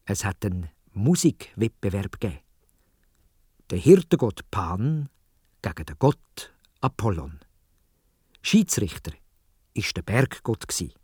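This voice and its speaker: Crisp Swiss German male storyteller. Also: lowish voice, big pitch swings, slow pace, pauses often, a little mellow, no echo, clean studio sound. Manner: dramatic, addresses young listeners directly. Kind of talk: animated storytelling for children.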